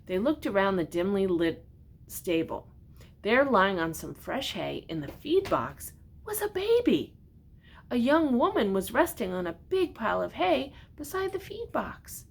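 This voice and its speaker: Husky older woman reading to children. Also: mid-range voice, measured pace. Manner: gentle, expressive, addresses young listeners directly with questions. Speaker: storyteller reading picture books to children. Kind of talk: children's storybook read-aloud with asides to young listeners.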